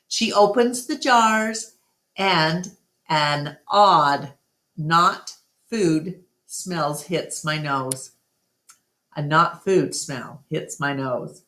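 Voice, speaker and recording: A woman reading a children's book aloud as a storytime narrator, with deliberate, expressive phrasing. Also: low voice. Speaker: storytime reader. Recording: roomy, echoey home recording.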